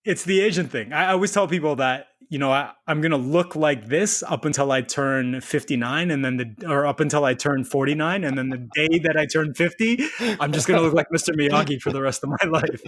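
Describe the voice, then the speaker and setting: A man's voice: a little high, brisk, fairly bright tone. A man in his forties, co-hosting a podcast in easygoing, joking casual chat. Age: 40s. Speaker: podcast co-host.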